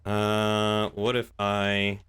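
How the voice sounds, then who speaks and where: A man streaming a roleplay game, chatting casually and talking in character in a wry, measured way. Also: on the low side, completely flat delivery, fairly bright tone, smooth. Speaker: game streamer playing a crime boss.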